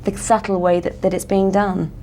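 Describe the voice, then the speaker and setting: An English actress answering interview questions earnestly, with many "you know" fillers. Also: female voice, medium pitch, flat delivery, normal speed, mellow, slight background noise. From England.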